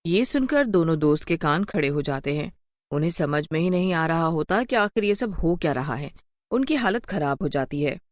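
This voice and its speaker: A woman narrates a scripted children's horror story. Her voice sits a little low, and the recording is close and dry with no room sound. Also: expressive, normal speed, no echo.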